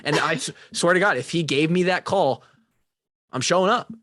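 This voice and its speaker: A young man co-hosting a casual podcast chat, talking in an excitable, slangy, rambling way. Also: a little high, normal speed, no echo, clean studio sound. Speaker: podcast co-host.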